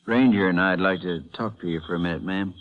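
An actor playing an apologetic, easygoing small-town sheriff with a Southern American accent in a 1950s radio western, polite and gentle. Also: male voice, low-pitched, fast talker, phone-quality sound, natural voice. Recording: vintage 1950s radio drama recording.

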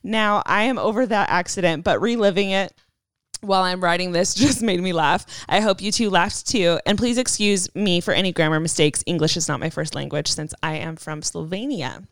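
A woman's voice: fairly low pitch, moderate pace, dry recording, clean studio sound. Laughing young woman reading a listener story. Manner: laughs often, animated reading. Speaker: podcast co-host. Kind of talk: casual chat, reading a listener story aloud.

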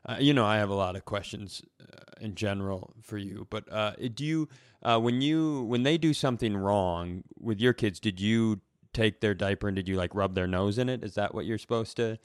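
A man's voice: lowish voice, moderate pace, clear, resonant tone, dry recording, studio quality. A man co-hosting a comedy podcast, chatting casually in a rambling, bantering storyteller's way.

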